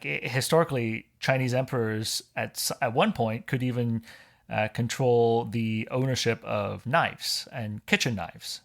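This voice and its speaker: A man from Hong Kong, a podcast guest in casual conversation, explaining things in a measured way. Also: medium pitch, gravelly.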